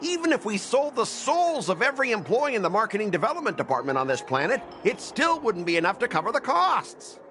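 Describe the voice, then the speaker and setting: High-pitched, blustering corporate bully. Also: male voice, sing-song, a little fast, few pauses, sharp tone, faint music behind, heavily acted. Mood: smug, condescending. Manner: condescending, blustering. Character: arrogant, hot-tempered corporate transport manager, bullying and pompous. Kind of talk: video game voice acting.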